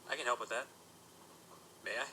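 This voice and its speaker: A man playing a brisk young pony knight apprentice. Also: mid-range voice, a little hiss or hum. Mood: calm. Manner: patient, explanatory, gently self-correcting. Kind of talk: fan audio drama acting. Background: music.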